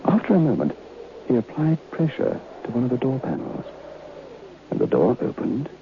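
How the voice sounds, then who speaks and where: An actor playing a haunted, curious former student who narrates a vintage radio horror tale in a deliberate, literary, brooding way. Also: male voice, mid-range voice, wildly swooping pitch. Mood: intrigued, hushed. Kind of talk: dramatic first-person horror narration. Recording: muffled, noisy old radio recording.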